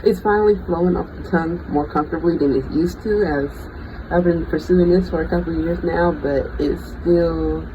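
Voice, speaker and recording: A thirty-year-old vlogger talking casually and off the cuff, in a reflective, halting, freestyled way. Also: medium pitch, fairly even intonation. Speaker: bioengineering student. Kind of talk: casual unscripted personal vlog. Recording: dull lo-fi audio with vehicle-like noise.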